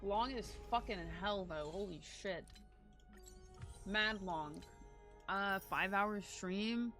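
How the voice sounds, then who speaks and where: A woman's voice: mid-range voice, takes it slowly, a bit bright. A woman game streamer giving blunt, casual livestream reaction commentary, swearing freely. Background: video game music.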